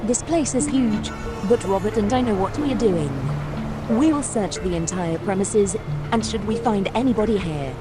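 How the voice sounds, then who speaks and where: A confident female police officer played by an actress speaks firmly at a medium pitch. She is businesslike and no-nonsense, with very loud music behind her in an audio drama. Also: moderate pace, natural voice. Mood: firm, reassuring.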